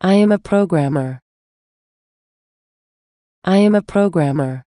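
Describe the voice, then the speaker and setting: A woman reading English example phrases for a language lesson, saying many of them twice in a measured way. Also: lowish voice, expressive, warm tone, no echo, clean studio sound. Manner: measured, repeats each phrase slowly. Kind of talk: slow scripted phrase reading.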